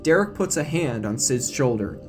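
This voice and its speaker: A man's voice: mid-range voice, animated, moderate pace. A man reading scripted dramatic narration for an audio drama. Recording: music bed behind the voice. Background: music.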